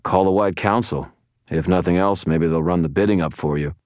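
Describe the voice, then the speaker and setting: A man's voice: low-pitched, brisk, darkish tone, clean tone, no room sound, studio quality, voice pushed up, close to an everyday voice. A man voicing a defiant, sardonic male hero held captive, in an audiobook. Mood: stalling. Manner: sardonic, stalling. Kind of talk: audiobook character voice.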